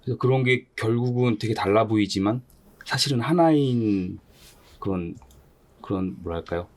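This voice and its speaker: A man's voice: lowish voice, normal speed, a little mellow. A man on a podcast panel, speaking casually in a tentative, contemplative way.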